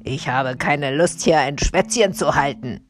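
Casting: woman as male storybook robber, gruff and unhurried, coolly taunting his victims, music underneath. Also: sits low. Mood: taunting, unruffled. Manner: taunting, commanding, drawn-out delivery. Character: gruff, brazen, unruffled male forest robber. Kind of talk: children's audiobook character reading.

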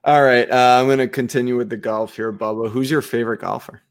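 A male co-host who keeps the chat playful and upbeat, with a gravelly voice. He speaks at a middle pitch for a man. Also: steady tone, fast. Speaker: podcast co-host. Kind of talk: casual podcast chat.

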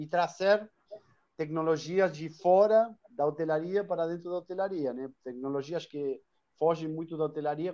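A man's voice: on the high side, mellow, dull audio. A man from Argentina with an Argentine accent, a Spanish speaker talking as a guest in a live online interview, talkative with many restarts and tag questions. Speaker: guest, hostel chain owner.

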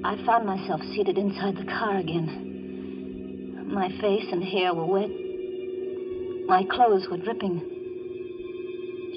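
An actress playing a frightened woman narrating her suspenseful night ride with a killer, in 1940s radio drama style, halting when scared. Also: medium pitch, close to an everyday voice. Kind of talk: 1940s radio thriller acting. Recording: muffled old radio broadcast, music behind.

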